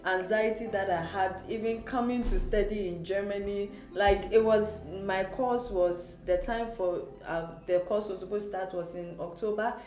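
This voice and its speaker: A woman's voice: mid-range voice, fairly even intonation, normal speed, hardly any pauses, a little mellow. A woman from Ghana hosts an interview on her channel, asking questions and encouraging her guest. She has a mid-range voice. The recording is echoey, with faint music underneath. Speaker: host. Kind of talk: casual interview talk.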